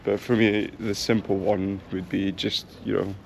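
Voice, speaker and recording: A man's voice: lowish voice, level tone, a little slow, vocal fry. A young man chatting casually as he walks around town, thinking aloud with plenty of ums, recorded on an action camera. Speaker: travel vlogger. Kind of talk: casual vlog chat while walking. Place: outdoor town streets and a bakery. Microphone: action camera mic. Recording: noisy outdoor street recording. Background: street traffic and town ambience.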